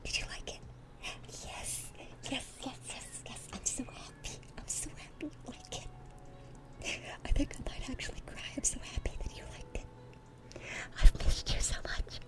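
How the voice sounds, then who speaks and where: A woman's voice: high voice, fairly even intonation, normal speed, lightly acted, low-quality recording. A woman playing a dorky, affectionate young demon girl in a whispered ASMR roleplay, rambling and repeating herself eagerly. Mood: overjoyed, tearful.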